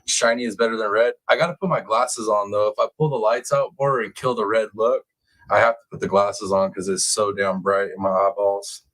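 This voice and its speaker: A man's voice: on the low side, steady tone, brisk, a bit bright, poor audio. A man hosting a livestream, chatting casually and in slangy, informal banter with his viewers. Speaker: livestream host. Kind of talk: casual live chat commentary.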